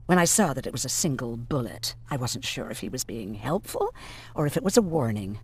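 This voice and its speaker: A man's voice: mid-range voice, big pitch swings, fairly quick, husky. A man playing an abrasive, suspicious survivor in a video game, blunt and confrontational. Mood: dry, suspicious.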